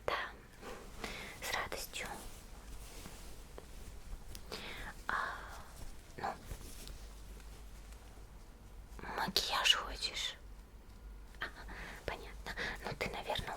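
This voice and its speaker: Whispering young monster schoolgirl, played by a woman. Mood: gentle, focused. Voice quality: breathy, soft whisper. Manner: slow, soothing, hesitant musing with short pauses. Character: gentle young monster schoolgirl stitching a doll-like friend. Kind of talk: whispered ASMR roleplay. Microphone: close microphone.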